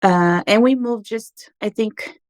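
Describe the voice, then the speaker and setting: Smooth-voiced woman telling her story. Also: medium pitch, takes it slowly, dry recording, clean studio sound. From Portugal, raised in Angola and Macau. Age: 30s. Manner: reflective, earnest, with frequent ums. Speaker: podcast guest. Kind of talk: personal storytelling interview.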